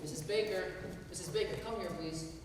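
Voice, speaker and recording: A man's voice: high voice, a little fast, lightly acted. A man playing a brusque dismissive brother. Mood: exasperated. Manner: brusque, exasperated. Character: dismissive brother, impatient and defensive. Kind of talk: stage play acting. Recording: echoey hall, far from mic, noisy.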